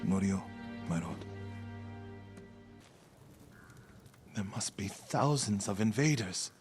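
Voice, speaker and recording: A humble warrior monk, weary and sorrowful. His low voice is hushed and solemn, with a respectful manner suited to a cinematic game drama, and music plays behind it. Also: lively intonation, a little slow. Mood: quiet resolve.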